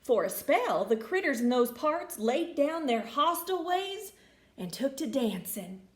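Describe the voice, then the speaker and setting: Expressive high-pitched woman reading a storybook. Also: measured pace, echoey room. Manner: expressive, theatrical. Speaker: storybook reader. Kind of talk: dramatic children's storybook narration.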